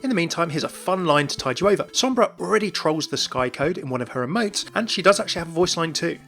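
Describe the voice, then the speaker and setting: A man gives informal gaming commentary at a quick clip. His voice is bright and a little high, with light music underneath. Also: lively intonation, gravelly. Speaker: gaming video host. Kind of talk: informal scripted-sounding video commentary.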